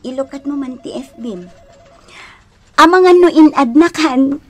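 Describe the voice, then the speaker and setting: An actress with a Filipino accent playing a giggly, teasing matchmaker friend in a radio drama. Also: female voice, high voice, unhurried, faint music behind. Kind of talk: Ilocano radio drama acting.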